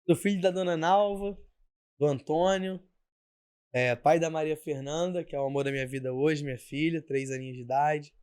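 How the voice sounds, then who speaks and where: A young man from Rio with a Carioca accent, a guest candidly telling his life story in relaxed slang. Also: on the high side, normal speed, clean tone. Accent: Carioca Portuguese. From Rio de Janeiro, Brazil. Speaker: podcast guest, bakery owner. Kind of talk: casual interview storytelling.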